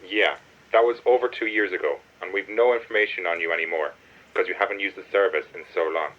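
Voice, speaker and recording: A man's voice: low-pitched, quick pace, lo-fi sound, close to an everyday voice. A man acting a rule-bound Irish helpline official in a radio play, formal, firm and procedural.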